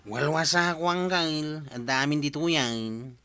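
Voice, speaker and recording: A man's voice: fairly high pitch, unhurried, bright, forceful tone, clean tone, dry recording, clean studio sound, faint music behind. A man playing a matter-of-fact, inquisitive younger village nephew in a radio comedy, with a Filipino accent. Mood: defensive. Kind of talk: Ilocano radio comedy drama acting.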